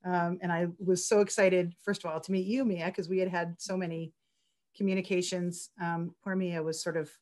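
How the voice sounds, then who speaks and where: A woman's voice: on the low side, little pitch movement, normal speed, a little mellow. A woman speaking on a video call as a festival organizer and writer, chatting and reading her essay aloud.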